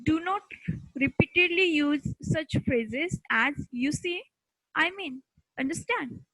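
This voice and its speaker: A woman with an Indian accent giving a scripted lesson as an instructor, speaking deliberately in short, halting chunks. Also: high voice, animated, fairly bright tone, no echo, poor audio.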